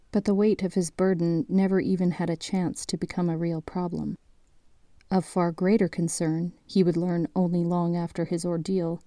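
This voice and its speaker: A woman narrating an audio drama in a measured, literary storytelling manner. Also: on the low side, warm tone, no room sound, clean studio sound.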